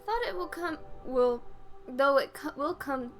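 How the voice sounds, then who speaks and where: A grave old wise woman guides a young hero, read aloud by a woman gamer. The words are formal and unhurried, in a slightly high voice, with video game music behind. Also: animated. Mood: explanatory. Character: solemn old female sage guiding a hero.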